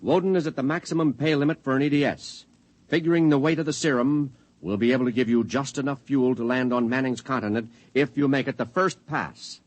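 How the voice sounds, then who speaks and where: A man's voice: medium pitch, a little fast, no echo, natural voice, rough recording. An actor in a 1950s radio drama playing a sober, businesslike male commander giving terse official briefings.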